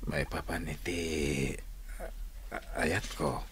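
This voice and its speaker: Mabain nga agar-arem, nababa ti timekna. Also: male voice, extremely low pitch, fairly even intonation, slow pace, breathy edge, heavily acted. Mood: lovestruck, hesitant. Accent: Ilocano. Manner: shy, hesitant. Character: bashful lovestruck engineer, earnest suitor. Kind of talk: Ilocano radio drama acting.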